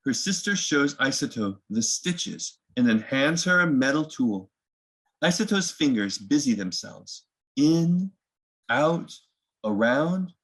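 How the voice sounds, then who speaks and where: A man reads a storybook aloud to children at an unhurried pace. His voice has some gravel and creak in it, and his pitch is lively. He speaks simply, as if explaining to young listeners. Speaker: children's read-aloud host.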